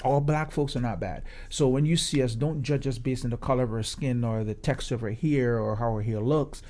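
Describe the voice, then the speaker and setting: Mellow-voiced Guyanese man, emphatic. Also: mid-range voice, a little fast. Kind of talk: conversational radio discussion.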